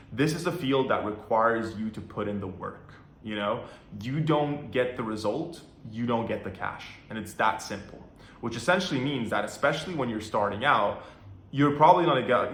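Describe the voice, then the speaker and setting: A young man from Norway giving relaxed career advice to camera from his apartment, talking casually with lots of "right" and "you know". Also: mid-range voice, roomy sound. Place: apartment room.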